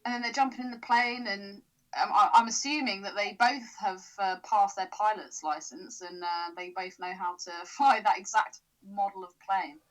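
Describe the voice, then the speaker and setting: A woman co-hosting a podcast in casual chat, sarcastic and quick to swear, laughing often. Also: medium pitch, poor audio. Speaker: podcast co-host.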